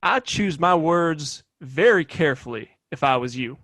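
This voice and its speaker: A smug, domineering American sheriff of the Old West, acted by a man in a radio-style Western play. His voice sits a little high, and the sound is dry and close. He talks in folksy phrases like someone who owns the town. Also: lively intonation, moderate pace, fairly bright tone, no echo. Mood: threatening. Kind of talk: Western audio play acting.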